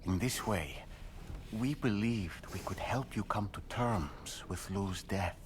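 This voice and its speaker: An actor playing a gentle, grave male therapist in a video game, speaking softly in measured, careful phrases. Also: fairly low pitch, a little hiss or hum. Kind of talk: video game cutscene acting.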